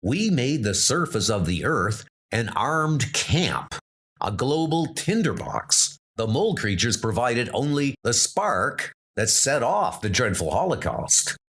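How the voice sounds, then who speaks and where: A man's voice: mid-range voice, big pitch swings, slow, a bit bright, full character voice. A man playing a brave adult armored knight, speaking in an earnest, rallying way. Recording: music playing underneath.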